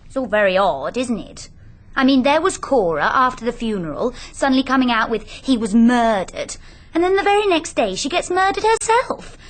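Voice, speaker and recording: A woman's voice: fairly high pitch, very animated, normal speed. An English woman in a British radio drama playing a scatterbrained, breezily greedy young wife, artless and chatty. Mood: puzzled, gossipy.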